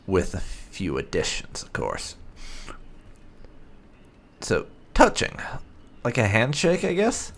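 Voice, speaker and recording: A man hosting a video game playthrough, commenting and reading out dialogue choices in roleplay, often halting to think aloud. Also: on the low side, wildly swooping pitch, gravelly. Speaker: gaming channel host.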